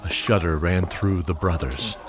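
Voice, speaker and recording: A man reads scripted narration for an audio drama in a very deep voice, steady and grave. Music plays clearly behind him. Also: moderate pace.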